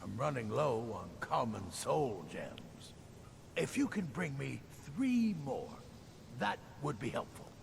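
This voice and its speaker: An actor playing a male mage who offers enchanting services in a fantasy video game, with a gravelly voice. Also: medium pitch, very animated, takes it slowly, slight background noise, full character voice. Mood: businesslike. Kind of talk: scripted video game dialogue.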